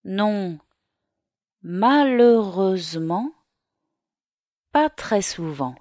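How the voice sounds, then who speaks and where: A woman reading the part of a young French law student in a slow learner's dialogue. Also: mid-range voice, animated, darkish tone, no echo, studio quality. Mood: friendly. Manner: slow, carefully articulated reading.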